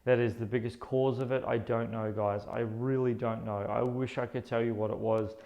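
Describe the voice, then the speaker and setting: A man in his thirties, sharing his own story in an earnest, halting way, often restarting his words, filmed indoors under LED lights. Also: medium pitch, fairly even intonation, vocal fry, muffled recording. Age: 30s. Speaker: vlogger. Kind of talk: personal health vlog.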